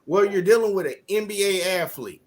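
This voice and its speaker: A man's voice: high-pitched, fairly quick. A man, hosting a casual podcast debate and laying out his views emphatically. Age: 30s. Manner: animated, emphatic, lecturing. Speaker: podcast host.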